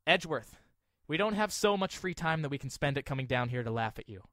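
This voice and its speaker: An actor playing an earnest, easily flustered young defense lawyer with a wry streak in an anime-style audio drama. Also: male voice, a little high, animated, fast, sharp tone, no echo, clean studio sound.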